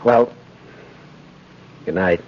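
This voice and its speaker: An actor playing an idealistic, persuasive lawyer, earnest and emphatic, in 1950s radio drama style. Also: male voice, drawn-out words. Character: idealistic, persuasive young male defense lawyer. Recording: noisy, dull 1950s radio recording.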